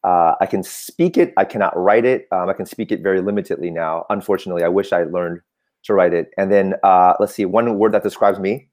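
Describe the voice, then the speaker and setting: Thoughtful low-voiced man, Cantonese native. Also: brisk, a little mellow. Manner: reflective, hesitant, with many "um" and restarts. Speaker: guest. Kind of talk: casual interview chat.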